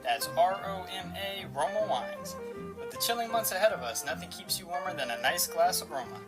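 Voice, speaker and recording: A man's voice: high-pitched, lively intonation, fairly quick. A young man reading a scripted introduction and sponsor message as a radio play announcer. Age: young adult. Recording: loud music under the voice. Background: loud music.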